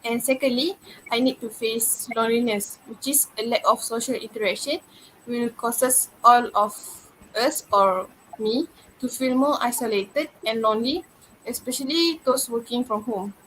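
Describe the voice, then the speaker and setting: A woman in her twenties with a Malaysian accent, giving prepared answers in a student interview at a measured, slightly halting pace. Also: a little high, fairly bright tone, a little hiss or hum, low-quality recording. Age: 20s.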